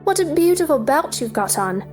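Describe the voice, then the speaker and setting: A woman's voice: high voice, sing-song, normal speed, darkish tone, soft background music. A woman playing a polite, curious, bewildered seven-year-old girl in a theatrical audio drama, earnest and wondering. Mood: polite, puzzled.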